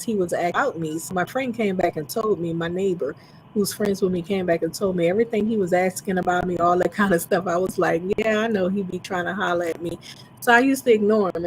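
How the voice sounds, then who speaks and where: A woman's voice: medium pitch, slight background noise, low-quality recording. A woman on a casual panel debate, telling stories in a chatty, candid way. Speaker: panel guest.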